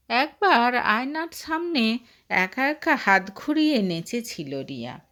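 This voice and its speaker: A woman narrating a scripted short story in an expressive storytelling manner. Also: medium pitch.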